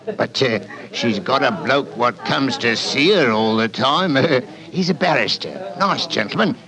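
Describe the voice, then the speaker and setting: An actor playing a jolly, rambling cockney pub landlord in 1940s radio drama, with a gravelly voice and a folksy manner. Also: male voice, medium pitch, animated, measured pace. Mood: jovial. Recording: old radio recording, crowd murmur behind.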